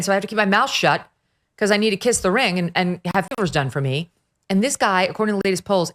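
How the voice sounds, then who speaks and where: Woman talk show host, kinda low voice, sarcastic and punchy, big opinions. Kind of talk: political talk show commentary. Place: at the beach.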